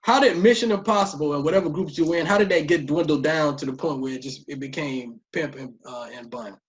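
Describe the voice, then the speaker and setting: A man's voice: a little high, fast talker. A man interviewing casually for a podcast, agreeable and often murmuring affirmations.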